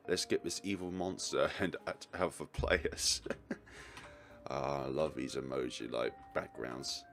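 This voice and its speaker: Male let's player: deep, expressive read of game text, casual reactions, light music behind. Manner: casual asides and short reactions. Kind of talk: reading game narration and dialogue aloud.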